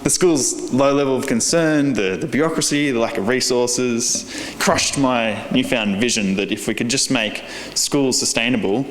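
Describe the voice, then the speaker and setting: A young Australian man in his early twenties gives a conference keynote in an earnest, halting way, with many fillers and restarts. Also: mid-range voice. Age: young adult. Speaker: keynote speaker. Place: large convention hall. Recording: echoey convention hall.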